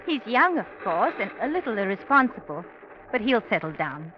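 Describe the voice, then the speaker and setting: A woman's voice: mid-range voice, very animated, normal speed, lightly acted. An actress playing a polite, chatty young British woman in an old-time radio drama. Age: young adult. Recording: muffled, noisy old radio recording.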